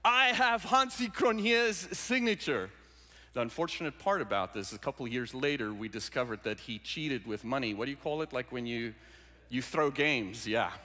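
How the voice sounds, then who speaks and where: A man's voice: mid-range voice, normal speed. A man, preaching a sermon with jokes and stories. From South Africa. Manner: jokey, animated storytelling. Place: large church sanctuary. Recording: hall reverb in a sanctuary.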